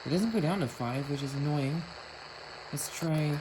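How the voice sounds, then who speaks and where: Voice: medium pitch, normal speed, noisy background. A hobbyist talking casually through a hands-on product demo, thinking aloud in a musing, matter-of-fact way. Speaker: amateur video maker, radio hobbyist.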